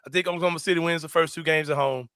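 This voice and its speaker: Young man, sports host: bright, forceful voice, slangy and animated, recorded dry. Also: fairly high pitch, racing, clean tone, no room sound. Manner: animated, slangy, laughs a lot. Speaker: sports talk show host. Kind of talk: casual sports chat.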